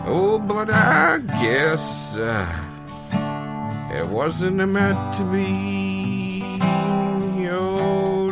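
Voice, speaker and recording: A man playing a mournful balladeer, singing a drawn-out improvised comic song over guitar. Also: high-pitched. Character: mournful male balladeer confessing a bitter old courtship. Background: acoustic guitar.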